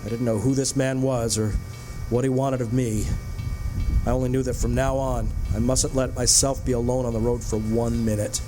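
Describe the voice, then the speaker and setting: A man's voice: mid-range voice, level tone, normal speed, over music, close to an everyday voice. A man with an American accent playing an anxious, haunted driver in a radio drama, earnest and uneasy. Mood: shaken, resolved. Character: haunted, anxious male motorist narrating his road trip. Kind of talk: dramatic first-person radio drama narration and dialogue.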